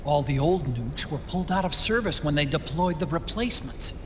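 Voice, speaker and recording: A man's voice: a little high, lively intonation, brisk, close to an everyday voice. A man playing an anxious, earnest tech expert and loyal friend in a video game, speaking insistently. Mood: tense, realizing. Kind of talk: dramatic video game acting. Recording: noisy vehicle ambience.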